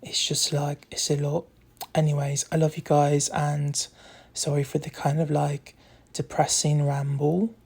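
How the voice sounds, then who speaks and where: Husky, creaky young voice with put-on British accent. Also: mid-range voice, monotone, a little slow. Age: young adult. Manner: soft, candid, meandering with frequent "like". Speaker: social media content creator. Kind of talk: casual rambling confessional chat to followers. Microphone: phone.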